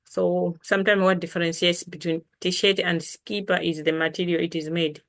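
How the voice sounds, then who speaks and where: A woman with a Malawian accent gives an informal language lesson as the teacher, repeating words deliberately for learners. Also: on the low side, little pitch movement, fairly bright tone.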